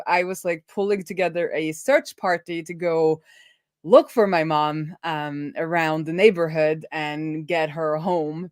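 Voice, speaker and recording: A woman with a fairly low voice hosts a casual coding livestream. She talks informally, like a developer telling things to regular viewers, with animated storytelling. The sound is close and dry. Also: no echo. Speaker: livestream host and web developer. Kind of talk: casual live coding stream chat.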